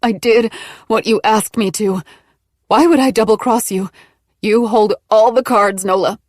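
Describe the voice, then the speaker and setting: A woman's voice: mid-range voice, a little slow, dry recording, clean studio sound, pitched above the performer's own voice, natural voice. A woman reader voicing a terrified pregnant woman in labor, desperately begging for help. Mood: pleading.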